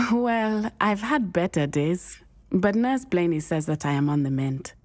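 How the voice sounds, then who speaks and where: Loyal, brave schoolgirl witch for a game: lively intonation, slightly breathy, formal and precise diction. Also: lowish voice, unhurried. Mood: gentle, reassuring. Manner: formal, precise phrasing, few contractions. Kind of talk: scripted video game cutscene acting.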